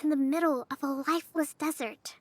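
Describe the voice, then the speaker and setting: Woman as a very high-voiced earnest little general. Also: steady tone, a little fast, lightly acted. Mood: earnest persuasion. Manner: formal, urgent, persuasive. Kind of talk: video game voice acting.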